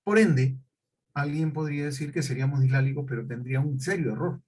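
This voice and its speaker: A man's voice: a little high, fairly quick, a little mellow, husky. A middle-aged male teacher, lecturing a class and often checking in with questions.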